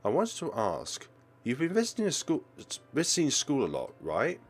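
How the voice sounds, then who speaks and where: A man's voice: mid-range voice, huge pitch swings, normal speed, pitched above the performer's own voice. A man voicing an anxious, reserved teenage student in a visual novel, polite and hesitant.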